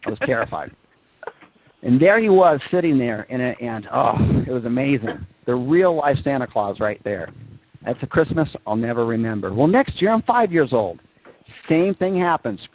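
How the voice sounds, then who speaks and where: A man speaking as a motivational presenter, telling personal stories and driving points home with emphatic repetition and dramatic pauses. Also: medium pitch, big pitch swings, a little mellow, a little hiss or hum, rough recording. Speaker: motivational speaker presenting on a group conference call. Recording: conference call audio.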